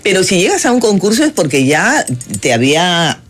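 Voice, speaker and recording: Host, animated, warm-hearted admiration, emphatic phrasing. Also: medium pitch, moderate pace. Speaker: interview host. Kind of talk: conversational interview.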